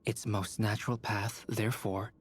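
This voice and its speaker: A man voicing a calm, analytical young scholar in a video game, deliberate and cerebral. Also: on the low side, breathy edge. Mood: thoughtful.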